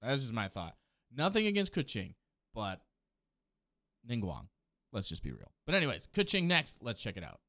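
Expressive male streamer reacting casually. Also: medium pitch, moderate pace, long pauses, no room sound. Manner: enthusiastic, slangy. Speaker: streamer reacting to game trailers. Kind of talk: casual live reaction commentary. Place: small home streaming room. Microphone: close desk microphone. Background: faint game trailer music.

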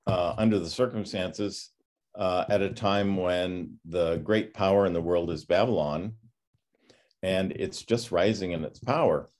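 A man in his sixties with a low voice teaches informally from a home study over a video call. He speaks slowly and reflectively, pausing often as he works through his thoughts like a pastor leading a class. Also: darkish tone. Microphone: computer microphone on a video call.

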